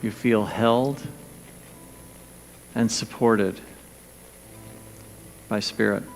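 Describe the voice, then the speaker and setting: A man leading a guided meditation, speaking gently and soothingly in short, spaced phrases with pauses. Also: on the low side, steady tone, vocal fry, poor audio. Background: background music.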